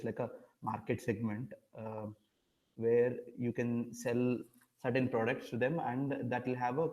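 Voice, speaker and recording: A man presenting an informal online webinar in a halting, explanatory way. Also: medium pitch, a little mellow, rough recording. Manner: halting, repeatedly checking audibility.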